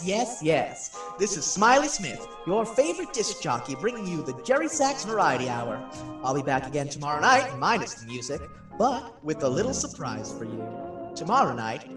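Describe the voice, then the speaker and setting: A brash, chatty disc jockey from a 1940s radio play. His delivery is showy and teasing, with very lively swings in pitch. He sounds set back from the microphone in an echoing room, with music clearly behind him. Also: moderate pace. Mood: upbeat, showy. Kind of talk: old-time radio DJ patter, radio acting. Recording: roomy echo, distant, music behind.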